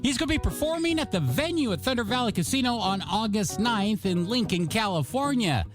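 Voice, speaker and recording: High male voice, American radio DJ, lively pitch swings, jokey showman, self-mocking oldies patter, music behind. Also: a little fast. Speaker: radio DJ and host. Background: pop and rock music.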